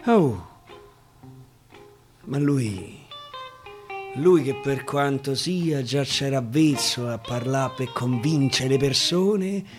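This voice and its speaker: A man with a Roman accent reciting a dialect monologue theatrically, swinging between hushed murmurs and loud declaiming. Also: medium pitch, lively intonation, takes it slowly, long pauses. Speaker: actor reciting a dialect narrative poem. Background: acoustic guitar music.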